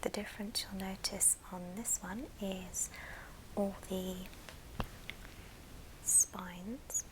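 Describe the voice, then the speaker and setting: A woman whispering breathily and gently in an ASMR show and tell, leaving pauses between short phrases. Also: medium pitch, fairly even intonation, background noise. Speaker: ASMR video host.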